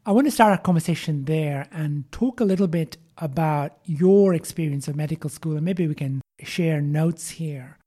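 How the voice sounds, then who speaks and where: Mellow-voiced man hosting an interview podcast. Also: fairly high pitch, moderate pace, few pauses, husky. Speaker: podcast host interviewing a guest. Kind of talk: measured interview conversation.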